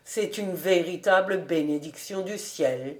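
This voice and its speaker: A woman plays an old country farmer in a storybook, low in pitch and very unhurried. He is tipsy, pious and content, and speaks in deliberate exclamations. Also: darkish tone, close to an everyday voice. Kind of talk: audiobook storytelling.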